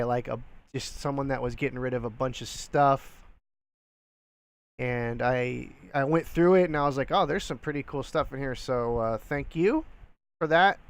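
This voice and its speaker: A man's voice: medium pitch, smooth, dry recording. A man in his thirties with a slightly nasal voice from a cold, casually talking about his resale items into a headset mic at home, rambling with many fillers. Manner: rambling, filler-heavy, friendly. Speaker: reseller vlogger. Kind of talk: casual talking-head vlog. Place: small room at home, indoors. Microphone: gaming headset with boom mic.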